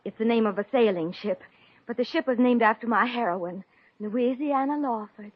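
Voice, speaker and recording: An actress playing a mousy, bashful, earnest young woman with a halting, coy delivery in a 1950s radio comedy. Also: a little high, slightly rough, no echo. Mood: coy, secretive. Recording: dull old-time radio recording.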